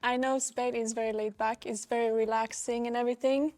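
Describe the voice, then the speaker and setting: A young woman raised in Norway, working as a real estate agent and answering interview questions in a relaxed, easygoing way. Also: fairly high pitch, brisk. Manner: relaxed, short easygoing replies with light laughs.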